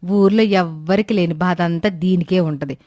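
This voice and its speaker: A woman voicing a greedy, sharp-tongued village wife who scolds with sarcasm in a fairy tale. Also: lowish voice, normal speed, dry recording, clean studio sound, voice pushed down, close to an everyday voice. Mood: scornful. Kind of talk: acted dialogue in a moral fairy tale.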